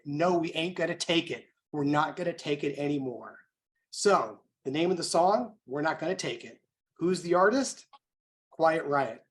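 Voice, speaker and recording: Fairly high-voiced man, American accent, event host, emphatic motivational speaking, rhetorical questions, deliberate phrasing. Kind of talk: motivational speech introducing a guest.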